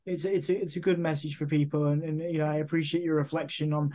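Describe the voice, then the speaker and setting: A man's voice: fairly high pitch, level tone, warm tone. A man from the United Kingdom hosting an interview, affirming his guest and often restarting his sentences. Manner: affirming, halting, many restarts. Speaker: interviewer host. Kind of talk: interview conversation.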